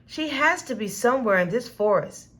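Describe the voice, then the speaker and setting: A woman's voice: fairly high pitch, lively intonation, normal speed, a bit bright. Urgent male zoo worker voiced by a woman. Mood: worried. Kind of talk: children's storybook character reading.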